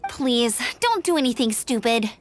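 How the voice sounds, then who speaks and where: A woman's voice: on the high side, sing-song, normal speed, a little hiss or hum. A woman voicing an earnest, spirited young female knight in a video game, speaking reassuringly. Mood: earnest, determined. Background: background music.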